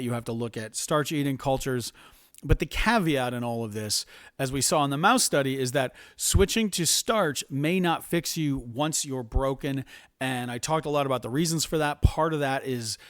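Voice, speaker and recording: A man's voice: medium pitch, normal speed, a bit bright, no echo. American man explaining in a dry-sounding recording. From United States. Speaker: health video presenter. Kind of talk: informal explanatory presentation.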